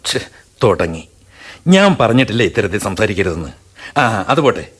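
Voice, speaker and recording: A man's voice: on the low side, big pitch swings, moderate pace, a bit bright, slightly rough, exaggerated voice. An actor playing a kindly railway station master who narrates and talks with friends and his wife in a radio drama. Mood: earnest.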